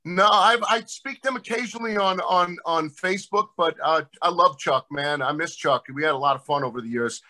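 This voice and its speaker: A man's voice: fairly high pitch, fairly quick, hardly any pauses, pushed and bright. A middle-aged man, a co-host and former wrestler, chatting laid-back on a video call and often tacking on "man". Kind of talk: casual chat on a video call.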